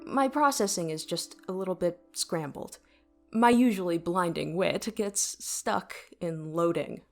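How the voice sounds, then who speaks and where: Starship AI, lower-pitched, boastful, technical, easily flustered, sci-fi audio drama, soft music underneath. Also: female voice, measured pace, gravelly. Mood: puzzled, explaining. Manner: wordy, boastful, technical way of talking. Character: witty, vain, easily flustered starship artificial intelligence.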